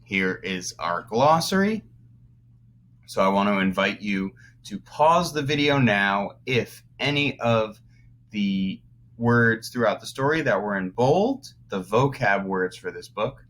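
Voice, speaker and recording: A man teaching young children through a read-aloud, pausing to explain words as he goes. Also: on the low side, expressive, a bit bright, a little hiss or hum. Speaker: first-grade teacher.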